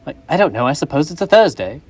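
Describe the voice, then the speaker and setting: A young woman on a school stage playing a gruff, defensive old miser who stammers and pleads. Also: sits low, sing-song, fast, a little mellow, full character voice. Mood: irritable. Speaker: stage actor. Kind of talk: school stage play acting.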